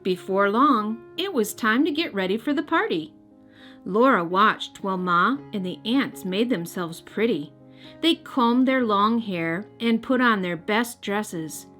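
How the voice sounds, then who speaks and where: A woman's voice: mid-range voice, expressive, measured pace, a bit bright. Woman reading a children's storybook, music behind. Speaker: host and storybook reader. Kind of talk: children's read-aloud narration.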